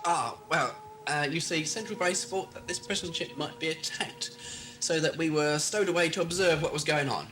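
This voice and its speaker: A man's voice: a little high, moderate pace, bright, forceful tone, faint music behind, low-quality recording. A man playing an eccentric, knowing time-traveller in a fan audio drama, wry and articulate, with a slightly hesitant, glib manner. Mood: glib bluffing. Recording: old cassette, sound bed behind.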